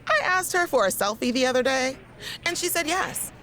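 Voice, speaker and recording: A woman's voice: high-pitched, expressive, normal speed, fairly bright tone, slight background noise. An actress playing a peppy, gossipy, exasperated female wrestling fan in a video game, confiding in a dramatic way. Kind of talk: video game cutscene acting.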